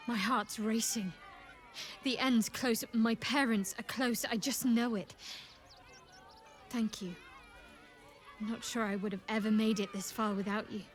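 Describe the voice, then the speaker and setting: A woman playing a guarded, wry young cleric companion in a fantasy video game, measured and intimate. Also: on the high side. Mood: anxious, earnest. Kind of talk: video game dialogue acting.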